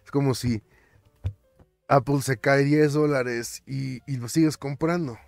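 A man's voice: medium pitch, a little slow, gravelly, dry recording, soft background music. Chatty Mexican man on a finance vlog. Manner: animated, chatty, stumbles and repeats words, slips in English terms. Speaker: finance vlogger. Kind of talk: casual commentary over screen captures.

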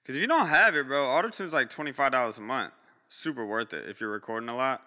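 A man's voice: expressive, fast, sharp tone, in a large hall. A young man on a live music-review stream, giving blunt, slangy feedback to artists and swearing casually, recorded on a close studio mic in a small home studio. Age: young adult. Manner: blunt, slangy, swears, jumps high in pitch when reacting. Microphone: studio mic close up, headphones on.

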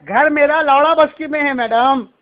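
A man's voice: extremely high pitch, moderate pace. A man with a Hyderabadi accent making a prank phone call, speaking in a cheeky, insistent, mock-earnest manner. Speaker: prank caller. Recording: muffled phone call recording.

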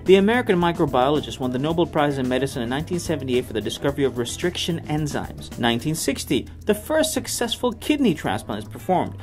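A man with a slightly high voice reads scripted narration as the presenter of a history series. Music plays quietly behind him. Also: sing-song, quick pace, hardly any pauses. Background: background music.

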